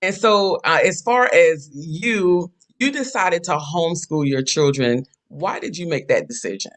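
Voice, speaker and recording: Guy hosting a chat show, kinda high voice, lots of uhs and ums. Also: a bit bright. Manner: halting, with many um and uh fillers. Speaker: talk show host. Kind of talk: casual interview hosting.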